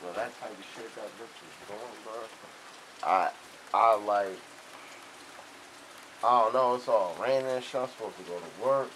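A young man telling boastful, animated street stories in casual, profane slang. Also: lowish voice, unhurried, pauses often, rough recording. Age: young adult. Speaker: storyteller. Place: outdoors on a covered house porch. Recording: rain and outdoor ambient noise.

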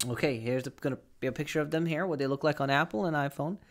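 A man's voice: on the high side, quick pace, faint music behind. A young man presenting a conversational step-by-step tech tutorial, explaining and often checking in with the listener, recorded on a close desk microphone in a small studio. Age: young adult. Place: small home studio with acoustic foam.

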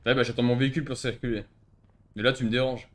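Fast-talking blunt man. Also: medium pitch, little pitch movement. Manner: blunt, mocking, slangy. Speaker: streamer playing online roleplay game. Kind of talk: casual in-game roleplay banter.

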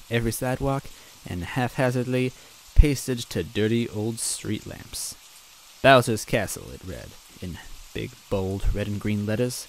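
A man's voice: on the low side, a little hiss or hum, rough recording. Male reader, chatty and rambling, faint rain behind. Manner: casual, rambling, clears throat often. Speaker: reader and narrator. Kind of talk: reading a fan story aloud with chatty asides.

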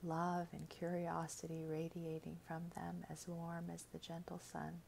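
A woman reading a scripted guided meditation in a gentle, soothing, measured way, with soft, breathy phrase endings. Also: on the low side, completely flat delivery, slight background noise.

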